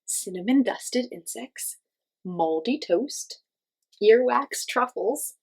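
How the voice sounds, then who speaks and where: A woman's voice: fairly high pitch, animated, takes it slowly, darkish tone, husky. A woman hosting a children's read-aloud, reading a picture book expressively and speaking directly to young listeners with simple questions and explanations.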